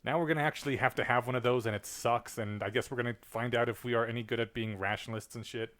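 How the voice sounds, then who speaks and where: A man's voice: mid-range voice, fairly even intonation, quick pace, fairly bright tone. A man with a mid-range everyday voice, talking bluntly and wryly as he hosts a podcast among friends. He drops a curse word here and there without fuss. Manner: blunt, wry, swears casually. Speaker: podcast host. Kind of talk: casual chat.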